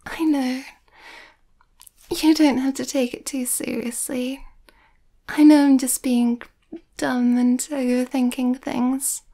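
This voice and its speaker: Soft, high-voiced shy werewolf girlfriend, acted by a woman. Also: flat delivery, slow, pauses often, heavily acted. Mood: anxious, hesitant. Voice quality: soft, breathy, often whispery. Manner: bashful, hesitant, giggly. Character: shy, sweet, overthinking young werewolf girlfriend. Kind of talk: intimate ASMR girlfriend roleplay.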